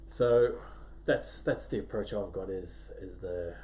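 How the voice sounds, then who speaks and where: A man's voice: deep voice, slow, mellow. A man hosting a podcast, introducing his guest in a hesitant way with many restarts. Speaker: podcast host. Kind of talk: casual interview chat. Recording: faint background noise.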